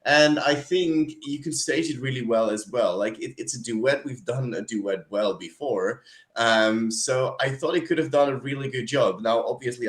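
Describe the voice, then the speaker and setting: A man from the Netherlands speaking as a panelist in a relaxed, casual chat over a video call. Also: medium pitch, echoey room. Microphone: headphones on a video call.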